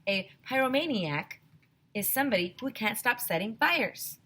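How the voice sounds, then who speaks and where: A woman's voice: a little high, animated, moderate pace, a bit bright, slightly rough, voice pushed up. A woman voicing a bossy, prim, scolding know-it-all schoolgirl in a children's storybook. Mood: exasperated.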